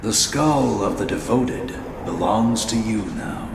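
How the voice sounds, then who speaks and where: A man playing a cryptic, menacing old scholarly warrior spirit in a video game, speaking gravely and theatrically. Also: fairly low pitch, takes it slowly. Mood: ominous. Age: older. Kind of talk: scripted dramatic game voice acting. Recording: roomy reverb, noisy game ambience.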